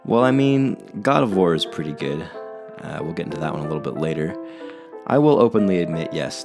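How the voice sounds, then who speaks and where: A man with a very low, deep voice chats eagerly about video games, playful and upbeat. Music plays clearly behind him throughout. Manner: enthusiastic, playful. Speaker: co-host of a gaming video channel. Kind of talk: casual gaming commentary.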